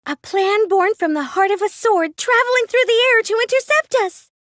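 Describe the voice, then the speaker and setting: A woman's voice: very high voice, lively intonation, normal speed, fairly bright tone, dry recording, studio quality. A woman voicing a plain, meek, nerdy teenage girl in an anime mystery video game, speaking in a mild, hesitant, questioning way. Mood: unsure, mild. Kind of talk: anime video game English dub acting.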